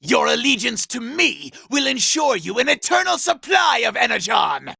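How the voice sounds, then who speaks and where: A male actor playing a vain, scheming, power-hungry robot commander in a video game, imperious and theatrical, with a raspy, gravelly voice. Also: very high-pitched, very animated, moderate pace, pushed and bright, no room sound, shouting, studio quality, full character voice. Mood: grandiose. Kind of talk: video game villain voice acting.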